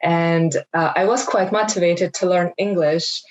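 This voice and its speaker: Kazakh-born woman, first languages kazakh and russian, slightly low voice, chatty with lots of likes, video call. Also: steady tone, unhurried, highs cut off, soft background music. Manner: candid and chatty, with many fillers like "like" and "you know". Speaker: webinar panelist. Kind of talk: unscripted panel answer.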